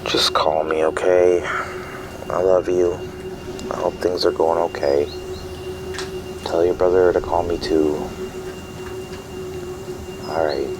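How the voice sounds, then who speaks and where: A man plays an anxious, isolated dad in an audio drama. His voice sits extremely low and moves slowly, hesitating and trailing off. Loud noise rumbles behind him. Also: level tone. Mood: worried, tender. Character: anxious, isolated, bewildered father leaving voicemails. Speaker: actor in a fiction podcast. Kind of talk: naturalistic audio drama phone monologue. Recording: noisy line with loud background rumble.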